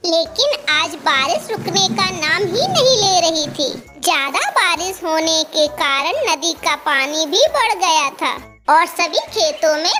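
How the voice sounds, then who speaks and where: A woman tells a scripted children's story as narrator. Her voice is very high-pitched. Faint background music accompanies the narration. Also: sharp tone.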